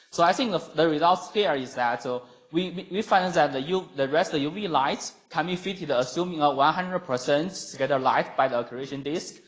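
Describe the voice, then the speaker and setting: A man who speaks English as a second language, giving a scientific conference talk in a halting manner with non-native phrasing. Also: a little high, rough recording. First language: not English. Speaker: researcher presenting at a conference. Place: lecture room at a conference.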